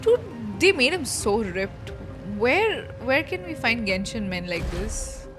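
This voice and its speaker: Casting: female game streamer, higher register, informal off-the-cuff exclamatory reactions, prominent game music in background. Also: very animated, slow. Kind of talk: casual live playthrough reaction commentary.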